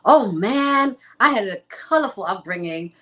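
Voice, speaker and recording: A young woman from Zimbabwe, a guest in an interview, talking in a lively, chatty way with many restarts. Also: mid-range voice, measured pace. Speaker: interview guest, former reality show contestant. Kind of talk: casual chat.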